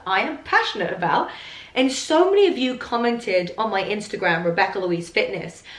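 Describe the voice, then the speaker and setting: Hoarse husky lady chatting on her podcast, upbeat, kinda echoey room. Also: medium pitch, fairly bright tone. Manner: upbeat, talkative, coughs now and then. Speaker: podcast host. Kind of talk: casual chatty podcast monologue. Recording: roomy echo.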